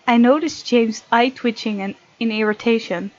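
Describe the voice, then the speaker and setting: A woman hosting a gaming video, reading visual novel text aloud and chatting casually in an expressive way. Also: fairly high pitch, moderate pace, lo-fi sound.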